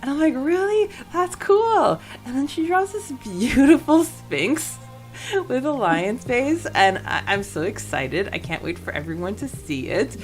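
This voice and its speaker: A woman's voice: high voice, sing-song, a little slow, soft background music, poor audio. A woman co-hosting a podcast in a casual, chatty and enthusiastic way about a book. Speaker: podcast co-host.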